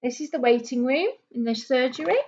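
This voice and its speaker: A woman with a fairly high voice reads a picture book to young children as a teacher would. She explains things in simple words and puts questions to her listeners. The recording is a little dull and lo-fi. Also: brisk, darkish tone. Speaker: primary school teacher. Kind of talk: read-aloud storybook lesson for young children. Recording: dull lo-fi small camera recording.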